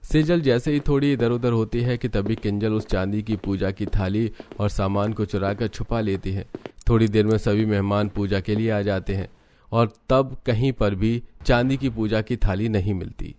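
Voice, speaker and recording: A man's voice: on the low side, steady tone, normal speed, a little mellow, a little hiss or hum. A man reading scripted narration for a family drama cartoon. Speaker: story narrator.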